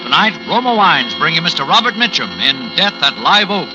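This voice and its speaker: A man's voice: on the high side, big pitch swings, moderate pace, loud music behind the voice, low-quality recording. A man giving a dramatic 1940s radio show introduction as the program announcer.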